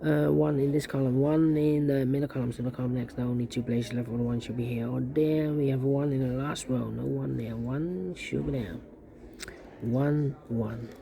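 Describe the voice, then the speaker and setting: Man, mellow dark tone, gravelly creak, methodical puzzle instructor, repeats himself while thinking aloud. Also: mid-range voice, steady tone, moderate pace, slight background noise. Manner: repetitive, methodical, muttering to himself while working. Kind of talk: step-by-step Sudoku tutorial commentary, thinking aloud.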